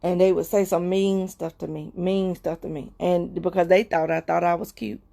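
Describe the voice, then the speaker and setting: A woman hosting a livestream, sharing a heartfelt personal testimony with viewers in a repetitive, preacher-like cadence, often slowing down and dropping to soft murmurs. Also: lowish voice, fairly even intonation, vocal fry. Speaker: livestream host.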